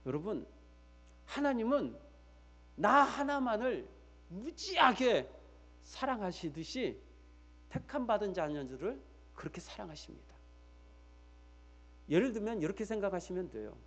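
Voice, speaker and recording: A man's voice: high-pitched, big pitch swings, a little slow, long pauses, a bit bright. A man preaching a church sermon as pastor, emphatically repeating key phrases and putting rhetorical questions straight to his listeners.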